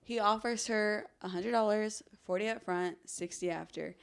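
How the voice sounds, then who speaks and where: A woman's voice: medium pitch, normal speed, creaky, clean tone. A woman hosting a true crime podcast, telling the story dramatically with casual conversational asides.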